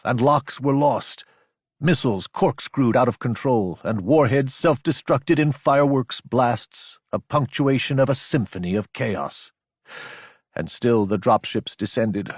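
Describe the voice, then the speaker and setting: A man reading a dramatic audiobook narration in a measured, deliberate storytelling manner. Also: medium pitch, husky, no echo, studio quality.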